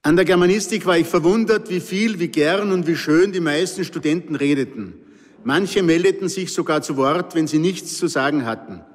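A man's voice: on the high side, moderate pace, long reverb tail. A man from the Pinzgau region with an Austrian accent, reading his own story aloud with dry, wry humor. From Pinzgau, Salzburg, Austria.

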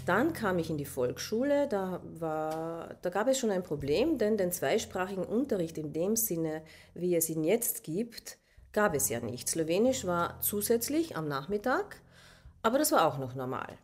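A middle-aged woman with an Austrian German accent and Slovene as her first language tells a personal story in a reflective, hesitant way, pausing and checking in with questioning asides. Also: on the low side, very animated, darkish tone, soft background music. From southern Austria, Carinthia. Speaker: interviewee.

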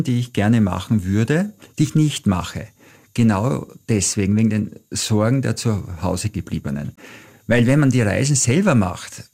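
A middle-aged man speaking German with an Austrian accent. His voice is deep and has a rough, gravelly edge with a mellow, dark tone. He answers interview questions reflectively, weaving in travel anecdotes. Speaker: travel author, guest.

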